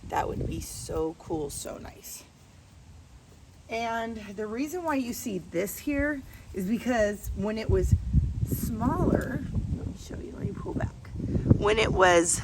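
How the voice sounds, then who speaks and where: A woman with a slightly low voice talks very slowly and casually, as a vlog host narrating what her hands are doing. She rambles from one remark to the next. The mic is some way off, with clear noise behind her. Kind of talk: casual chat while working. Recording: distant mic, noisy background.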